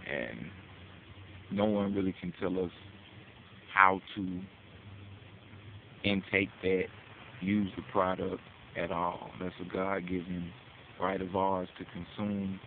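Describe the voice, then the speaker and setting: A tinny, phone-like recording with faint hiss carries a deep-voiced American man. He delivers an informal public address, pressing his case in street slang, with casual cursing and questions he puts to the listener. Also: steady tone, slow pace, pauses often. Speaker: speaker giving a public address. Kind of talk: informal advocacy monologue.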